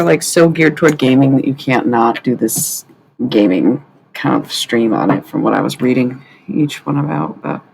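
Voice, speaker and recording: A woman with a raspy voice hosting a live stream from home at night, chatting loosely to viewers and swearing freely. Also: low voice, darkish tone, slight background noise, poor audio. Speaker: livestream host. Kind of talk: casual live-stream chat. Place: at home at night, others asleep nearby.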